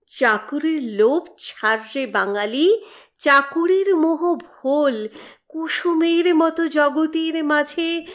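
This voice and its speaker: Woman doing a dramatic poem recital, high voice, really slow measured lines, exhorting the listener. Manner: declamatory, exhorting, measured phrasing. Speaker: poetry reciter. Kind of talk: dramatic Bengali poetry recitation.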